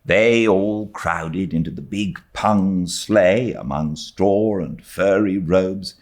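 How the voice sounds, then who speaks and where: A man with a British accent reading a classic novel aloud as an expressive audiobook narrator. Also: low voice, slow pace. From Britain. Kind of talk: expressive literary story narration.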